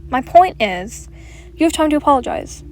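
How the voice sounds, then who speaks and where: A woman's voice: high voice, animated, moderate pace, a little mellow, soft background music. A woman playing a haughty, guarded young ice fairy heiress in a voiced roleplay drama, telling her story dramatically. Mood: haughty, exasperated.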